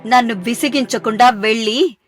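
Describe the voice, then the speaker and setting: A woman voicing an angry, commanding middle-aged mother-in-law in an animated family story. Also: on the high side, normal speed, faint music behind, lightly acted. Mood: irritated, commanding. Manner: commanding, irritable.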